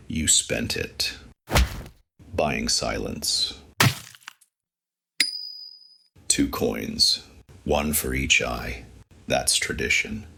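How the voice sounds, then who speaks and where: A man playing a brooding, menacing noir vigilante in a horror audio drama, terse and gravelly. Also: rumbling low, pushed and bright, full character voice. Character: brooding male noir vigilante, cold deliverer of judgment. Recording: clear background noise.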